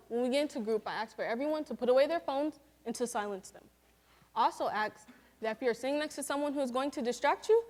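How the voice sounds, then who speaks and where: A woman's voice: on the high side, a little mellow. An eighteen-year-old young woman giving a senior presentation and role-playing a group facilitator, speaking earnestly and instructively to a group. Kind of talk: student presentation with a scripted role-play.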